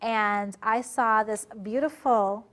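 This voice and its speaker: A woman's voice: on the high side, slow pace, fairly bright tone. A woman from the United States hosting a casual interview, bubbly and effusive, eagerly asking questions.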